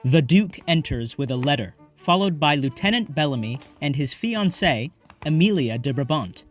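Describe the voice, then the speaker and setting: A man's voice: a little high, expressive, moderate pace, soft background music. A man narrating scripted stage directions for an audio drama.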